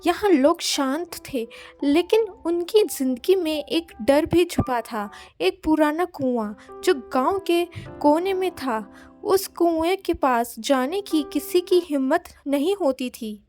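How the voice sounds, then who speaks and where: A woman's voice: high-pitched, expressive, slow pace, a little mellow, soft background music. A woman narrating a scripted children's story as a storyteller.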